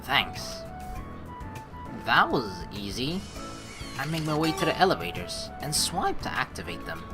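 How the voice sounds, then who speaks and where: Brief: casual woman streamer reading a game aloud, deep voice, lively swings, music under. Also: measured pace. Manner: casual, rambling, self-correcting. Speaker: let's play host. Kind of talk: reading a visual novel aloud with chatty asides.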